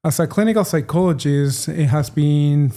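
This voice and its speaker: A man's voice: on the high side, normal speed, dark tone. A man in his thirties from Chile, a native Spanish speaker, answering interview questions as a clinical psychologist in a thoughtful, self-deprecating way.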